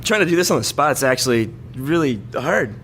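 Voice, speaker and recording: A man's voice: medium pitch, animated, brisk, background noise. A man doing a live reading of a bawdy comic story, stumbling over words and breaking into laughter now and then. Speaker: comedy troupe member reading own story.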